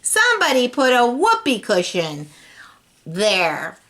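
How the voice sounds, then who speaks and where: A woman voicing an excitable, easily flustered young schoolboy prankster in a storybook, with exclamatory, emphatic delivery. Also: a little high, slow pace, pitched above the performer's own voice, exaggerated voice. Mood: indignant, puzzled.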